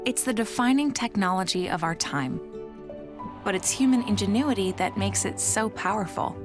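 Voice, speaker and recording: A woman's voice: mid-range voice, lively intonation, normal speed. A woman narrating a scripted corporate promotional video in a polished, upbeat announcer style. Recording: studio voice-over with music bed. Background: music.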